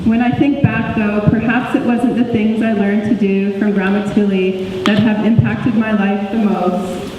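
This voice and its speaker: A woman reading a book chapter aloud as narrator, measured and reflective. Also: mid-range voice, fairly even intonation, dark tone. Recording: echoey hall, distant mic, faint noise.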